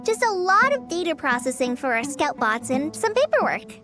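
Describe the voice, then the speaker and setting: A woman's voice: high-pitched, sing-song, normal speed, over music. A woman voicing a cheerful, diligent little girl who works at an outpost in a video game, chirpy and businesslike. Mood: cheerful, unbothered. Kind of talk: video game character dialogue.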